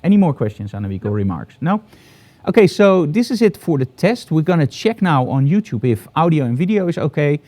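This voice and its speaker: A man's voice: fairly high pitch, sing-song, a little fast, dark tone. A middle-aged man with a Dutch accent, hosting a live photography broadcast from his studio in an upbeat, enthusiastic, promotional way. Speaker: photography host.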